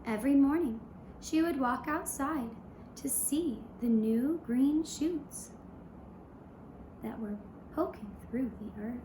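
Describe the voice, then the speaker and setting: A woman storyteller narrating a fairy tale for young children in a gentle, measured manner with short pausing phrases. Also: sits high. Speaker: puppet show storyteller. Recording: roomy echo, clear background noise.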